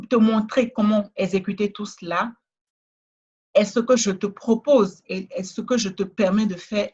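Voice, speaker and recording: A woman trainer giving a persuasive, repetitive sales pitch in a webinar, speaking straight to the listener, with a West African French accent. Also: a little mellow, slightly rough, lo-fi sound. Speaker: trainer selling a course.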